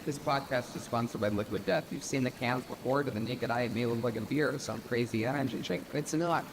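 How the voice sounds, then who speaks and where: A man's voice: mid-range voice, quick pace, background noise. A man reading a sponsor ad in an upbeat, jokey way. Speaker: ad reader. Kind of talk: read sponsor ad.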